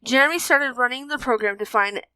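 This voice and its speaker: A woman's voice: high voice, brisk, sharp tone, smooth, dry recording, low-quality recording. A woman narrating a scripted adventure story as its storyteller.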